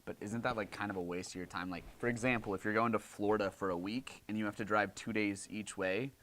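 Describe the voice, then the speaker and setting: Very low-voiced skeptical questioner. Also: male voice, steady tone, quick pace, fairly bright tone, slight background noise. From Colorado. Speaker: debate moderator. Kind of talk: casual debate.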